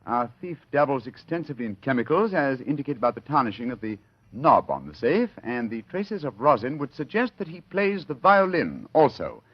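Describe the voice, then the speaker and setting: Brilliant gentleman sleuth, pompous and precise, swooping delivery, dull old radio sound. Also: mid-range voice, big pitch swings, normal speed, slightly rough. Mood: keen, confident. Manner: precise, pompous, formal deductive speech. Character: brilliant, confident male gentleman detective, wryly proud. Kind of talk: 1940s radio drama acting. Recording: dull vintage radio recording.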